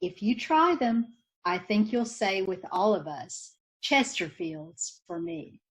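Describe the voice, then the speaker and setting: A woman's voice: medium pitch, big pitch swings, takes it slowly, poor audio. A woman reading a vintage radio commercial in an earnest, persuasive manner. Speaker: commercial spokesperson.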